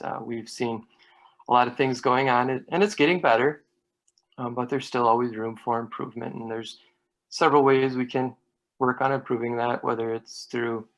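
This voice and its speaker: Guy running for council introducing himself, mid pitch, plain talk, conversational. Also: fairly even intonation, moderate pace, fairly bright tone, low-quality recording. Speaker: city council candidate. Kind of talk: informal campaign introduction.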